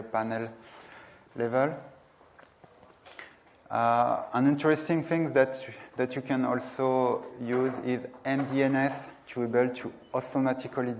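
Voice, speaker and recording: A man's voice: medium pitch, gravelly, slight background noise. A man in his thirties giving a technical presentation in a lecture room, speaking hesitantly and searching for words. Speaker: conference presenter.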